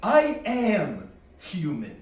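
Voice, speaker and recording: An actor playing an aloof, coldly superior post-human warrior in a sci-fi audio drama, speaking in deliberate, halting, solemn phrases. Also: male voice, high-pitched, wildly swooping pitch, a little mellow, exaggerated voice. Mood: scornful, intense. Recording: very echoey, distant, faint noise.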